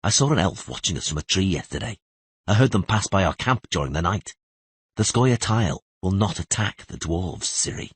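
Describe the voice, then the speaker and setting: A man's voice: sits low, expressive, moderate pace, breathy edge, no echo, heavily acted. A man voicing a stern, guarded monster hunter and patient mentor in a fantasy audiobook. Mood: grave explaining. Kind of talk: audiobook character voice.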